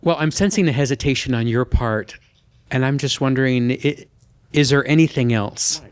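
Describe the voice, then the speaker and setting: Older man, measured and plain-spoken. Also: medium pitch, clear, resonant tone. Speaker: dating show contestant. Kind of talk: earnest conversation on a dating show.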